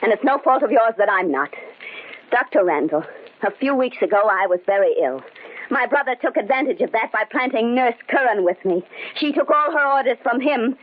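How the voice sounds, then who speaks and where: A muffled old radio drama recording carries a high-voiced, intense woman of means. She is wronged and defiant, pleading her sanity in theatrical, emotional delivery. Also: animated, brisk. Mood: bitter, defiant. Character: distressed wealthy woman, accused of madness, defiant and pleading. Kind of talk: old-time radio drama acting, with first-person narration.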